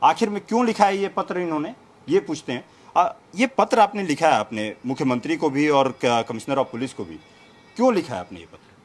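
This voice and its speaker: A man's voice: on the high side, animated, fairly quick. A man working as a news reporter, introducing and questioning an interviewee.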